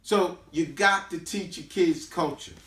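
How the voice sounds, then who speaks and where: American male, slightly high voice, preachy street-level teacher talking to companions, repeats for emphasis, recorded off-mic in an echoey room. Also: measured pace, bright, forceful tone. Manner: emphatic, preachy, repeats himself with rhetorical questions. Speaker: host giving a talk on history and culture. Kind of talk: informal teaching talk to companions. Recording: echoey room, distant microphone.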